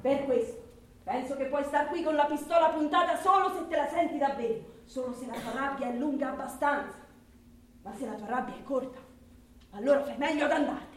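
A high voice of a worldly, cynical woman, played by an actress, mocking and declamatory. The acting is theatrical radio drama. The sound is roomy and some way from the microphone. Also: a little fast, distant mic, slight background noise, close to an everyday voice. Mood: firm.

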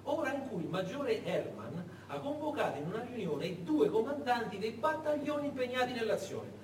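A man narrating a story on stage in a dramatic, theatrical way, with deliberate pauses. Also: extremely high pitch, big pitch swings. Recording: echoey room, far mic, faint noise.

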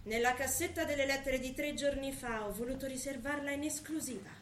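Actress as high-pitched, melodramatic distraught wife. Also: female voice, fairly quick, natural voice. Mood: composed, aggrieved. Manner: melodramatic, swinging from composed to wailing. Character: distraught, theatrical wife of a missing businessman. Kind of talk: stage theatre acting. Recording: echoey distant stage, faint noise.

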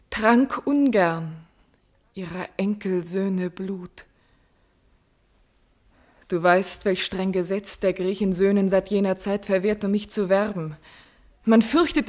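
An actress playing a proud, sorrowful princess in a classical verse radio drama, speaking in a solemn, deliberate, declamatory way. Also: female voice, medium pitch. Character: proud sorrowful captive princess of royal blood, secretly in love.

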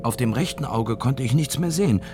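An actor playing a shaken male academic who soberly recalls being a bombing victim in a measured radio drama monologue. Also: mid-range voice, lively intonation, natural voice. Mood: stunned, reflective.

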